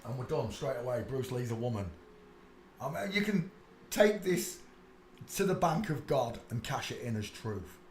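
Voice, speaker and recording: A man's voice: medium pitch, sing-song, normal speed, darkish tone, a little hiss or hum. Man rambling in an echoey room. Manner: insistent, emphatic, trailing asides with many "uh"s. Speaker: channel host. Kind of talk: casual rambling monologue over pictures. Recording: echoey room with faint ambience.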